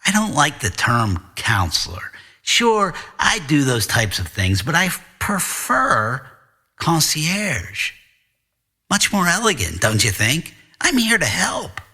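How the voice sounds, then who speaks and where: A man's voice: a little high, huge pitch swings, takes it slowly, sharp tone, husky, full character voice. A man voicing a genial, evasive school counselor who talks in a leisurely, mannered, circling way in an audiobook story. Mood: polite, officious. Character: genial, evasive male school counselor, self-styled concierge. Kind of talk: audiobook character dialogue.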